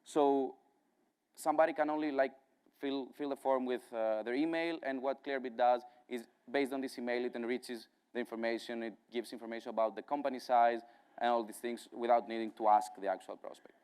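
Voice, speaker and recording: A man's voice: medium pitch, fairly even intonation, fairly quick, darkish tone, clear, resonant tone. Man with a strong Greek accent. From Greece. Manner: casual, conversational, with frequent fillers and restarts. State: jet-lagged. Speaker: conference speaker. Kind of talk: conference talk. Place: dark conference stage.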